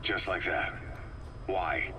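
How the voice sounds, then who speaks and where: An actor playing a weary, hardened older military commander on a video game cassette tape, terse, gruff and guarded. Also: male voice, low voice, slow pace, noisy background. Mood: cold, bitter.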